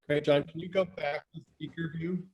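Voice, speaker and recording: A man's voice: fairly high pitch, fairly even intonation, moderate pace. A man hosting a livestream in a friendly, easygoing way, coordinating over a video call. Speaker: host.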